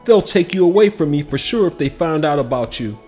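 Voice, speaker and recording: A man voicing an excitable, tender ten-year-old city boy for a storybook, eager and exclamatory, over music. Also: fairly high pitch, a little fast, pitched above the performer's own voice, close to an everyday voice. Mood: worried. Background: background music.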